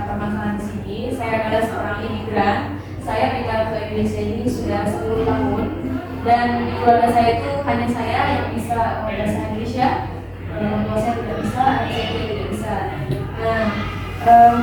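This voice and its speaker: A young woman playing a young male immigrant who struggles with alcohol and cannabis in a counseling role-play, talking haltingly with many hesitations. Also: a little high, natural voice. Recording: echoey room from far off, loud noise.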